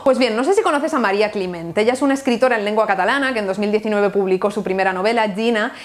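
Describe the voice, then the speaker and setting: A woman's voice: medium pitch, racing. A young woman from Valencia with a Valencian Spanish accent, hosting a scripted literature video with wry, ironic asides. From Valencia, Spain. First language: bilingual Valencian and Spanish. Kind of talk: scripted explanatory video essay.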